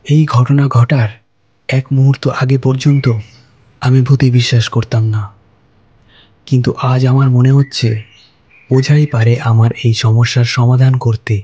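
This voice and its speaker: A man narrating a first-person ghost story in a slow, measured way. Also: medium pitch, level tone, mellow, husky, highs cut off.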